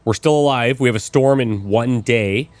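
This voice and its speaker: A man in his thirties with a slight cold and a bit of rasp, musing aloud as he plans out his moves while commentating a game. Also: mid-range voice, normal speed, a little mellow. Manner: musing aloud, planning with fillers. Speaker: let's play host. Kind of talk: casual gameplay commentary.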